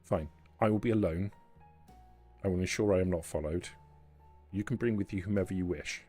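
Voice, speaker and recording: A man plays a formal vampire woman in a tabletop roleplaying game, very low and husky. She speaks in measured, careful phrases, guarded and quietly scheming. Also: faint music behind. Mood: urgent, formal. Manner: measured, formal, deliberate diction.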